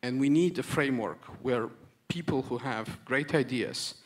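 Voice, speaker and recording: A man's voice: mid-range voice, moderate pace. Earnest man at a conference. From Romania. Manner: earnest, repetitive emphatic phrasing with hesitant restarts. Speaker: conference panel speaker. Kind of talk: conference speech.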